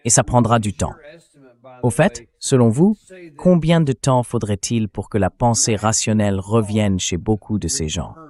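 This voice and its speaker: A man's voice: lowish voice, normal speed, a little mellow. A man's synthetic AI voice dubbing an interview host in a translated political interview.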